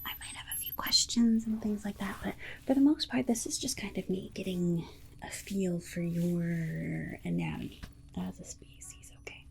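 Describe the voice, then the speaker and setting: A woman playing a patronizing yet soothing alien scientist in an ASMR roleplay, softly reassuring her human subject. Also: fairly low pitch, fairly even intonation, normal speed, vocal fry. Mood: gently instructing. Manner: patronizing, soothing, hesitant murmured repetitions. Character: condescending, sweetly reassuring female alien scientist examining a human. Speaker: ASMR performer.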